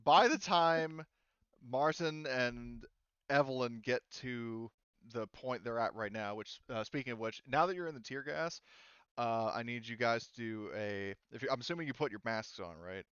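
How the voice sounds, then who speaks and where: Smooth guy game mastering, casual and halting, bit dull dry mic. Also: medium pitch, a bit bright, dry recording. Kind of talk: casual tabletop game narration.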